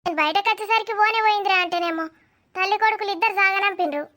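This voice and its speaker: A nosy, affectionate village aunt in a cartoon comedy, put on by a woman, with an extremely high voice. She fusses and chatters question after question in a Telangana accent. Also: racing, bright, forceful tone. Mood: gossipy. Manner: inquisitive, chattering.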